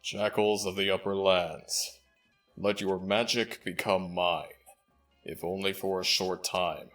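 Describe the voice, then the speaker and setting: A man voicing an ancient jackal god in an audio roleplay, regal and fondly exasperated, with formal, measured speech and a deep, gravelly voice. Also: fairly even intonation, fairly bright tone, faint music behind, full character voice. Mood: solemn.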